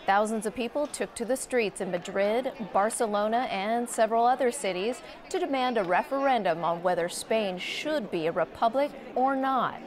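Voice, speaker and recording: A woman's voice: a little high, moderate pace, hardly any pauses. A woman reading scripted television news as the anchor.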